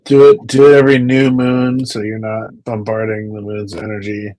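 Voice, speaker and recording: A man's voice: fairly low pitch, level tone, moderate pace, a little mellow, no room sound, poor audio. A man chatting on a video call, rambling into stories and repeating words as he goes. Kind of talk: casual chat.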